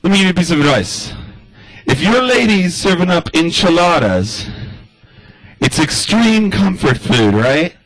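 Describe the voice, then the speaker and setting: A man riffing through a stand-up monologue, like a radio address, loose and chatty with plenty of asides. His voice sits a little high and swings up and down a lot as he talks. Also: very animated, unhurried, fairly bright tone, dry recording, low-quality recording. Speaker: stand-up comedian. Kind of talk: rambling comic monologue in radio-address style.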